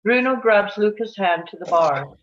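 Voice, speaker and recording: A woman narrates scene directions from a script. Her voice sits at a middle pitch, and the recording is slightly dull and lo-fi. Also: lively intonation, normal speed. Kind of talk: scripted narration at a table read.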